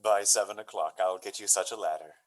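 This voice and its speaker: A man playing an earnest, courteous young gentleman lover in a Shakespearean radio drama, speaking florid verse. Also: low voice, brisk, lightly acted. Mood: helpful, eager. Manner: hesitant openings, then florid Shakespearean verse.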